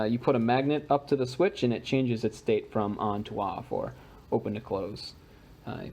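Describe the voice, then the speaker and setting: A man's voice: mid-range voice, darkish tone, a little hiss or hum. Casual man demonstrating a homemade gadget. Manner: casual, unpolished, conversational. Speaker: hobbyist maker presenting a homemade gadget. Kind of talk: informal demonstration and explanation.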